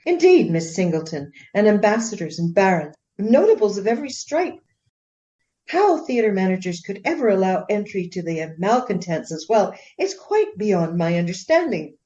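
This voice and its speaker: Woman acting a haughty, animated Regency gentlewoman, muffled. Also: mid-range voice, moderate pace, natural voice. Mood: indignant, proud. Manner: haughty, formal, emphatic. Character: proud, indignant Regency gentlewoman defending her husband. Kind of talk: radio play acting.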